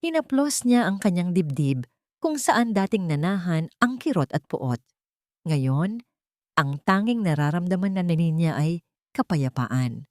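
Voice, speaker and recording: A woman's voice: fairly low pitch, very animated, measured pace, a little mellow, dry recording, clean studio sound. A woman narrating a dramatic story from a script.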